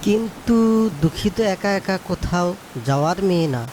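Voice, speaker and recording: A woman's voice: lowish voice, lively intonation, moderate pace, heavy background noise, natural voice. A woman voicing a worried, caring fox father in a cartoon. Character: worried, gentle fox father searching for his daughter.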